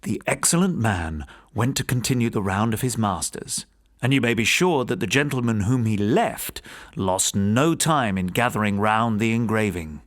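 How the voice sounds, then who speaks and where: A man narrating a gothic ghost story for an audiobook in a measured, formal, dramatic storytelling manner. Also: on the low side, expressive.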